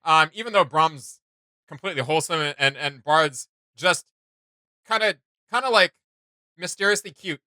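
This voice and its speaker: Bright, forceful man chatting casually. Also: a little high, moderate pace, no room sound. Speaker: co-host of a reaction show. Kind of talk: casual reaction chat.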